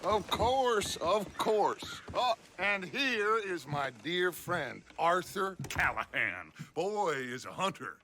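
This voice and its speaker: An actor playing a grandiose, silver-tongued outlaw gang leader, American, with a theatrical, unctuous manner. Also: male voice, high-pitched, sing-song, slow pace, hardly any pauses, pushed and bright, a little hiss or hum, full character voice.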